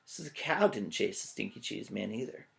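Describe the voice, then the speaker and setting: Casting: playful male teacher for read-alouds, highly varied pitch, creaky edge. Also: moderate pace. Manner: playful, joking, expressive. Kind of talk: animated children's story read-aloud with playful asides.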